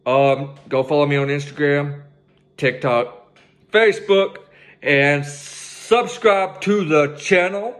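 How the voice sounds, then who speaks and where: A man's voice: on the high side, takes it slowly, a bit bright. Laid-back young man reacting to a track. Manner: laid-back, swears freely, hypes up the track. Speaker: reaction video host. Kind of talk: casual reaction commentary. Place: living room at home, indoors. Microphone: headset mic.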